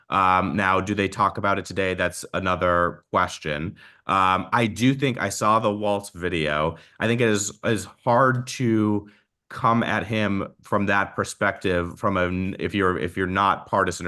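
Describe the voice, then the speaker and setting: Male pundit, low but bright voice, measured, hedging, unscripted panel analysis. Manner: measured, hedging, repeats phrase openings. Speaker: political commentator panelist. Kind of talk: off-the-cuff political analysis.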